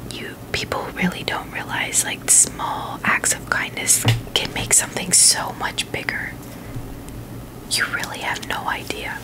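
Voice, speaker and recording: A woman whispering in a breathy, unvoiced voice, chatting casually and haltingly in a gentle ASMR style. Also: rough recording. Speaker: ASMR video host.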